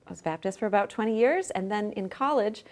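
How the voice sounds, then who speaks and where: A woman's voice: medium pitch, lively intonation, quick pace. A middle-aged woman speaking conversationally as an interview guest, recounting things in an informal, explanatory way. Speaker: podcast guest, journal managing editor.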